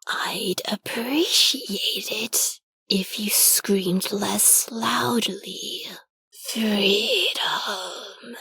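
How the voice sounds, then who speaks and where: A cold, weary puppet animatronic from a horror game, played by a woman. The voice is very breathy and creaky, with a drawn-out, deadpan delivery that menaces quietly. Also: medium pitch, dry recording, in a whisper, heavily acted, poor audio. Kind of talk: video game character voice lines.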